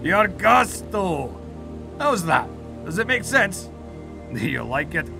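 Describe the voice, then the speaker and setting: A huge old grandfather from a mythology audio drama, acted out by a woman. The voice is rough yet soothing, kindly and teasing, and it swings widely in pitch. Music plays clearly behind it. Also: very animated, takes it slowly, heavily acted. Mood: playful.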